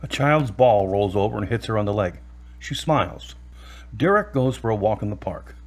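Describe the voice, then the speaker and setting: Husky male audio drama narrator. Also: on the low side, animated, moderate pace.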